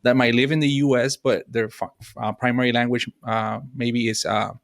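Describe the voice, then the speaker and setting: A man's voice: medium pitch, fairly even intonation, normal speed, vocal fry, dry recording, clean studio sound. A man from Rico, a Spanish speaker, answering earnestly on a discussion panel with many filler sounds. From Puerto Rico. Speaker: panelist, fatherhood blogger.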